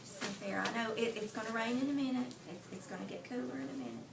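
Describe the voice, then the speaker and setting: A woman with a fairly high voice reads a picture book to young children as their teacher. Her delivery is expressive and deliberate, stopping to question her listeners. She is recorded at a distance from the mic, with clear room noise around her. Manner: expressive storytelling, slow deliberate phrasing, pausing to question listeners. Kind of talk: interactive picture-book read aloud.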